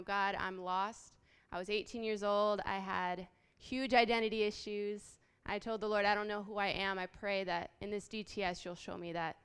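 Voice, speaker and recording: A woman in her late twenties, raised in Haiti, speaks to a gathering about her own story. Her voice is exceptionally clear and smooth, with a middle pitch, and she talks earnestly, stopping for small ums as she goes. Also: a bit bright. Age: late 20s. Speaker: guest speaker. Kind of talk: personal testimony talk.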